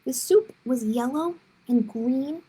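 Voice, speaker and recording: A woman's voice: high voice, slow, warm tone, husky, rough recording. A woman reading a children's story aloud expressively, with short explanatory asides to young listeners.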